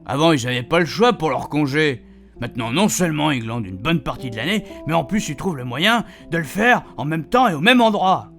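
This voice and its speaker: A man's voice: a little high, expressive, brisk, fairly bright tone. Man acting chatty cheeky old grandpa, music behind. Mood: grumbling. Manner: rambling, colloquial, repeatedly checking his puns landed. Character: chatty retired grandpa, cheeky, self-amused, homespun storyteller. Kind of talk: radio fiction monologue.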